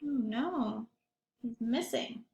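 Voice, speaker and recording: High-voiced woman teacher in an echoey room. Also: slow pace, slight background noise. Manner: animated storytelling, addresses young children with questions. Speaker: primary school teacher reading aloud to pupils. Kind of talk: expressive children's story read-aloud with chatty asides.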